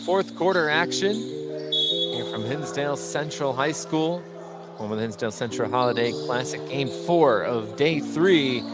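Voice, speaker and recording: A man's voice: mid-range voice, animated, unhurried, loud music behind the voice. A man doing live play-by-play radio commentary of a basketball game. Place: indoor high school gym during a game. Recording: noisy gymnasium with bouncing basketballs. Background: game noise, bouncing basketballs.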